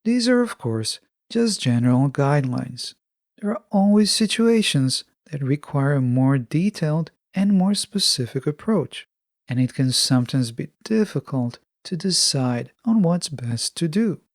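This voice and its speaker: A man with a fairly high voice and a creaky edge, recorded close and dry. He delivers a scripted self-help podcast monologue in a measured, instructive way. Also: lively intonation, dry recording. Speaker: podcast host.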